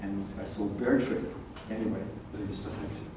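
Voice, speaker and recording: An older man who is a longtime local resident, speaking softly and haltingly at a community meeting. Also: lowish voice, steady tone. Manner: soft-spoken, rambling with pauses. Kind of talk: public comment at a community meeting. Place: large echoey meeting room. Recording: echoey room, distant mic, faint hiss.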